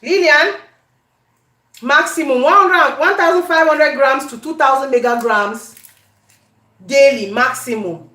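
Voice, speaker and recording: A woman with a Cameroonian accent chatting casually to viewers as she cooks, animated and conversational, often repeating her questions and phrases. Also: high-pitched, unhurried, long pauses. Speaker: cooking vlogger. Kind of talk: casual live chat while cooking. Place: indoor home kitchen. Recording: echoey room.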